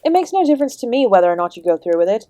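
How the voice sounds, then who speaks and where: A woman's voice: fairly high pitch, sing-song, very fast, mellow, no room sound. Woman playing a suave, teasing female Devil, dry close sound. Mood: breezy, worldly. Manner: genteel, teasing, theatrical, calling the listener "my dear". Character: charming, sardonic female Devil, ancient and courteous host. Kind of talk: scripted audio roleplay, addressing the listener directly.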